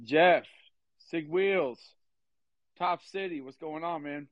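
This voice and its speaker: A man's voice: a little high, takes it slowly, no room sound. A man casually hosting a sports call-in show, chatting with callers in a loose, informal way. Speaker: host of a live call-in sports podcast. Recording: slightly dull, lo-fi audio.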